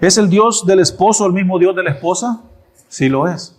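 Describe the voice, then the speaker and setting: A man's voice: high voice, moderate pace. A man in his thirties preaching a sermon in a church, asking rhetorical questions and answering them emphatically. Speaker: pastor.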